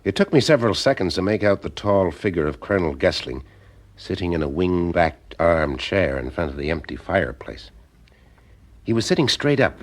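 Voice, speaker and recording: Actor as a deep, husky private eye. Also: male voice, heavily acted. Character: male private eye, wry, observant, informal. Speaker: lead actor and narrator in a detective audio drama. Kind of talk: first-person detective narration and dialogue.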